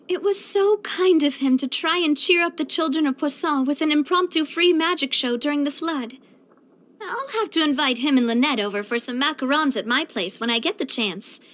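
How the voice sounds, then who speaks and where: High-voiced, earnest young woman. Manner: expressive, chatty, earnest, with thoughtful hesitations. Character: bright, earnest, sociable young female leader of a street organization. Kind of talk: video game character voice lines.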